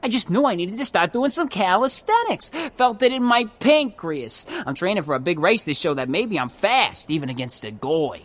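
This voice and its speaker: Wildly swooping, jabbering little speedster. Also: female voice, lowish voice, normal speed, few pauses. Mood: excitable, rambling. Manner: jabbering, excitable, slangy yammering. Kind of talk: comedic audio drama acting.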